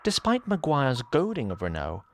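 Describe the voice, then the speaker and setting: A man's voice: medium pitch, wildly swooping pitch, normal speed, slight background noise. A man narrating a scripted fantasy story in a dramatic, wry and literary manner. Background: music.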